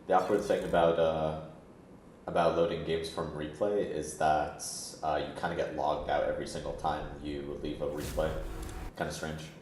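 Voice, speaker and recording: A man's voice: extremely low pitch, little pitch movement, moderate pace, a little mellow, a little hiss or hum. A man hosting and commentating an esports match in a casual, laid-back, chatty way. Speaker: esports tournament host and commentator. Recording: roomy echo, music behind. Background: background music.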